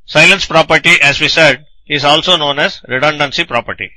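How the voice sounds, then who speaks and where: A man's voice: a little high, fairly quick, sharp tone, no room sound, rough recording. A man narrating a scripted technical lecture in a didactic manner, posing questions and then answering them.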